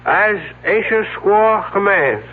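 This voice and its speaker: Slow, high-pitched solemn mystic. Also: male voice, animated, full character voice. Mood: solemn, mystical. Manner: solemn, stilted, portentous. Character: mystical, solemn, strange man claiming ancient Indian origins. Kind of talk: 1970s radio drama acting. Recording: tinny, narrow old radio broadcast.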